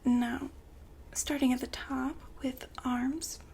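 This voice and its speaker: A woman whispers an ASMR roleplay as an attentive sales consultant, slowly and with little rise or fall. Her breathy voice carries a creaky edge. She sounds gentle and service-minded, over faint background noise. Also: high-pitched, fairly even intonation. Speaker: ASMR performer playing a robot shop consultant.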